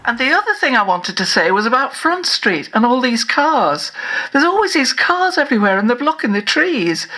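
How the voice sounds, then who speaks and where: A woman giving an animated, opinionated vox pop as a local resident. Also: a little high, moderate pace, poor audio.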